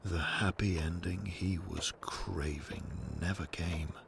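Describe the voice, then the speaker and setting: A man with a gravelly voice reading scripted storybook narration for an audio drama in a slow, measured manner. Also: low-pitched, fairly even intonation, background noise.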